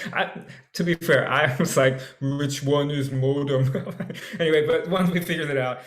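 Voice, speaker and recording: A man casually talking about acting in a radio play, loose and animated, with lots of fillers and restarts, on a home setup over a video call. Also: fairly high pitch, normal speed, echoey room. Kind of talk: casual panel discussion.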